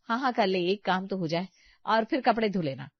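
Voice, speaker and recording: A woman's voice: mid-range voice, lively intonation, a little fast, no room sound, highs cut off, natural voice. A woman voicing a thrifty, exasperated housewife who complains and bargains emphatically in a comedy story. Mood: businesslike. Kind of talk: animated comedy story dialogue.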